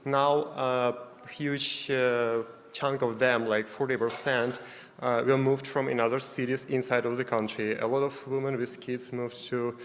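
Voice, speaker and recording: A man with a Ukrainian accent speaks haltingly and leans on fillers and simple phrasing. His voice sits at an ordinary pitch. It carries a long reverb off a large conference hall. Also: flat delivery, fairly bright tone, slight background noise. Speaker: audience member asking a question. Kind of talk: halting, unscripted question from the floor.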